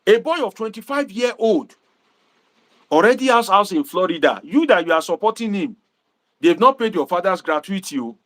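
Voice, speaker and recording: Animated Nigerian man livestreaming. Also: high voice, normal speed. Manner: animated, preachy, mixes Pidgin asides. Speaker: livestream host and political commentator. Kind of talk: livestream commentary to viewers.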